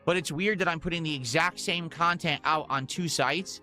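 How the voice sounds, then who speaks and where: A man with a bright, forceful, slightly high voice rants as a game streamer. He sounds aggrieved and complaining, with music playing behind him. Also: moderate pace. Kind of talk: ranting livestream talk to viewers.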